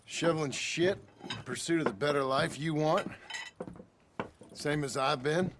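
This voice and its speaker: An actor playing a guarded, plain-spoken frontier ranch hand and father in a Western game, with a gravelly voice. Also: on the high side, measured pace, noisy background, lightly acted. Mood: weary, dry. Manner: terse, folksy, plain-spoken. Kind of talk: naturalistic Western game acting.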